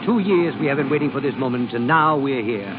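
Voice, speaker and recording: Actor as a stern, lively-pitched guardian. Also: male voice, fast, lightly acted. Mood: brisk, hopeful. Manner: clipped, stern, dryly lecturing. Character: exacting, unsentimental male guardian and schemer. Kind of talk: 1930s-40s radio drama acting. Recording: tinny phone-like, hissy old radio broadcast.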